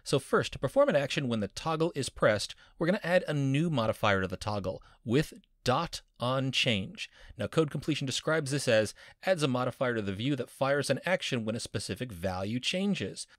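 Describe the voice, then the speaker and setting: A man's voice: medium pitch, expressive, moderate pace, few pauses, no echo, clean studio sound. A man teaching a screen-recorded coding lesson as a university instructor, upbeat and playful with jokey wordplay.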